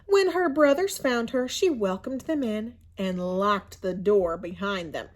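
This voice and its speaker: A woman reading a storybook aloud to children in an expressive, teacherly way, pausing to explain and ask questions. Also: mid-range voice. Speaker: school media coordinator reading to children.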